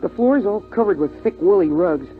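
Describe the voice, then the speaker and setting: An earnest boy tells of his family's life on a frozen world in a conversational, wondering way. The voice sits a little high. It is 1950s radio drama acting, with the dulled sound of an old recording. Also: expressive, brisk. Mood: earnest, confiding. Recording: muffled old radio recording, faint music.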